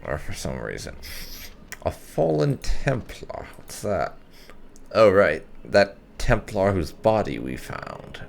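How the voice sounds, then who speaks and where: A man's voice: deep voice, huge pitch swings, takes it slowly, vocal fry, a little hiss or hum. A man giving casual roleplay playthrough commentary as a gaming host, musing and thinking aloud.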